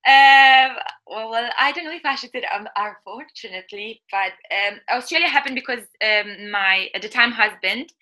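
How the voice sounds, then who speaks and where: Bright-voiced woman, candid and laughing. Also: fairly high pitch, normal speed. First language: not English. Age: 30s. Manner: candid, laughs often, searches for words. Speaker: guest. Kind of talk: casual interview chat.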